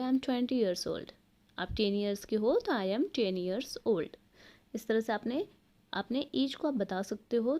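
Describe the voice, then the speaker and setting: A woman with an Indian accent teaching everyday English sentences in Hindi, saying each English sentence slowly and clearly. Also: fairly high pitch, darkish tone. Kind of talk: spoken English lesson in Hindi.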